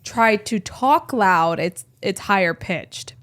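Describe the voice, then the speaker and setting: A woman's voice: mid-range voice, animated, slow, a bit bright, dry recording. A woman co-hosting a casual podcast, talking wryly and matter-of-factly about her work as a nurse. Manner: laughs often, wry and matter-of-fact. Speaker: co-host, emergency room nurse. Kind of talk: casual chat.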